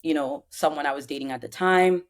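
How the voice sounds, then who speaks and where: A woman's voice: low voice, a little fast. Chatty woman in her thirties from Cameroon. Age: 30s. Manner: chatty, rambling with many fillers. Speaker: podcast guest. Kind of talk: casual chat.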